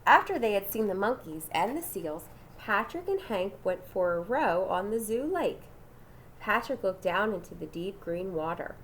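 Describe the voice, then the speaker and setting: A woman's voice: mid-range voice, very animated, moderate pace, a bit bright, a little hiss or hum. A woman reading a children's storybook aloud dramatically, with asides and questions to her young listeners. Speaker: storybook reader.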